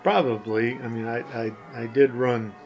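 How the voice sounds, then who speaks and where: An older man answering interview questions in a halting, hesitant way with short replies. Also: medium pitch, steady tone, darkish tone, soft background music. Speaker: guest, the hosts' father.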